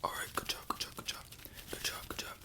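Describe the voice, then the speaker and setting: A man speaks entirely in a breathy whisper, playing a medical examiner in an ASMR roleplay. He gives simple instructions one step at a time and repeats short, soft reassurances as he goes. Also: fast talker.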